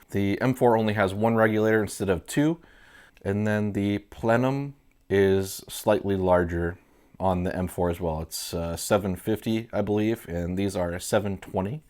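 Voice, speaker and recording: A very low-voiced man in his thirties, congested and a little stuffy from a cold. He talks through his gear in a relaxed, easygoing way, recorded at a desk in a small indoor studio. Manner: relaxed, easygoing explanations with fillers. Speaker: airgun reviewer. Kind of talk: casual product walkthrough. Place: indoor studio room with red lights.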